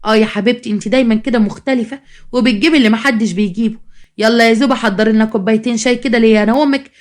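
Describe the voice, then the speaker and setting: Woman doing a needling, fast-talking Egyptian mother-in-law. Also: on the high side, steady tone, lightly acted. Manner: sly, reproachful, mock-sweet. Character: meddling, needling mother-in-law of a new bride. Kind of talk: dramatized storytelling.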